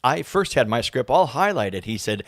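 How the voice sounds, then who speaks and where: A man's voice: medium pitch, big pitch swings, normal speed, fairly bright tone, no echo. A man, a stage actor, chatting casually and anecdotally in an interview.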